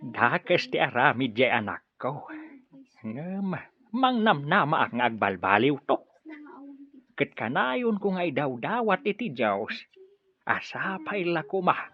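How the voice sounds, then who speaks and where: An actor playing a devout, fretful but friendly middle-aged Filipino father in an Ilocano radio drama, with an expressive, drawn-out delivery. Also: on the high side, no echo, full character voice. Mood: worried, hopeful. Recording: muffled radio drama audio.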